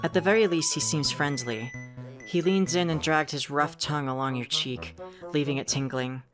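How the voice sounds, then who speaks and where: A very low-pitched narrator, acted by a woman tells a visual novel story in the second person. The delivery is wry and conversational, wandering into asides, over clearly audible music. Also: moderate pace, close to an everyday voice. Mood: wry, descriptive. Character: second-person narrator, wry, rambling, easily sidetracked visitor. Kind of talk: scripted narration of a fan-dubbed visual novel.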